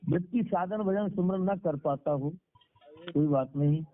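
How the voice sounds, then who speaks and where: Higher-voiced male preacher on a phone line. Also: moderate pace. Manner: repeats phrases for emphasis. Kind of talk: devotional sermon with moral storytelling. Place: speaking over a conference call. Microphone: telephone. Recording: tinny phone conference-call line.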